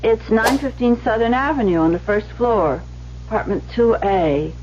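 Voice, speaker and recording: An actress playing a shy, lonely young receptionist in an old radio drama, polite and hesitant, on a muffled recording with hum. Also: female voice, fairly high pitch, normal speed, darkish tone, close to an everyday voice. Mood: flustered, hopeful.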